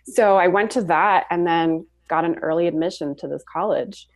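A young woman from Switzerland, a podcast guest and graphic designer, talking in a relaxed storytelling way with frequent ums and soft laughs. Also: on the low side, moderate pace, no room sound. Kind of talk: casual interview chat.

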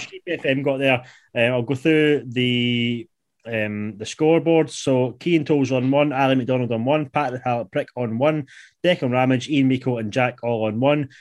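Scottish man in his late twenties, chatting casually. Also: mid-range voice. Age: late 20s. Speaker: podcast producer and quiz host. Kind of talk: casual football podcast banter.

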